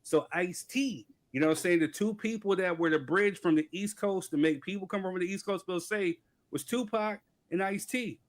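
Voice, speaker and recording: A man's voice: high voice, normal speed, hardly any pauses. A man from California on a podcast panel, debating hip hop in an emphatic casual way, often asking "you know what I'm saying".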